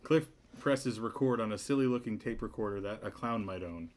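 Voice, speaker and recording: A man's voice: lowish voice, a little fast. A man narrating stage directions from a comedy script at a table read.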